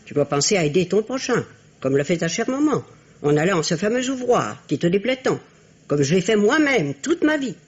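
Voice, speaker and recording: A woman playing a stern but fond aristocratic old grandmother in a radio drama, speaking in a formal, admonishing, imperious way with a husky voice. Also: mid-range voice, big pitch swings, brisk. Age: older. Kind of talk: French radio drama acting. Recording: dull, muffled old radio recording.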